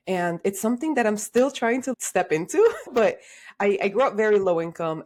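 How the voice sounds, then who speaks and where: A woman in her thirties, originally from Honduras, speaks as a podcast guest and career coach. She talks in an animated, conversational way with frequent "like" fillers. Her voice sits a little low.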